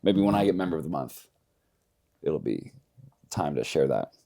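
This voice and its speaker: A man's voice: rumbling low, expressive, normal speed, gravelly, warm tone. A man coaching at a gym, casually interviewing a member with friendly, teasing questions.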